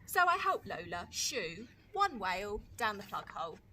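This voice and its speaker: Bright, animated woman reading a storybook. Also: mid-range voice, moderate pace, a little hiss or hum. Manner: expressive, addresses young listeners. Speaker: teaching assistant reading aloud. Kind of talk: children's storybook narration.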